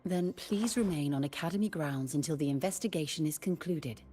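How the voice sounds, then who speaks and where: An actress as an authoritative female official in a video game, her voice on the low side. She is composed, formal and even-handed, with light music underneath. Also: brisk, close to an everyday voice. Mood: calm, official.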